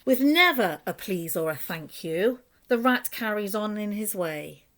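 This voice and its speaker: A woman narrates a rhyming picture book for young children. Her voice is mid-pitched, and she tells the tale expressively, following the bounce of the verse. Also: expressive, measured pace, fairly bright tone. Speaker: storyteller reading to schoolchildren.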